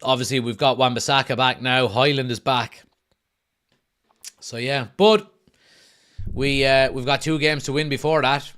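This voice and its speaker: A man's voice: mid-range voice, a little slow, pauses often. A young Irish man hosting a live football watch-along stream, chatting casually, reading viewers' messages aloud and calling the match action. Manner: reads viewers' chat messages aloud and answers them; excited play-by-play bursts during match action.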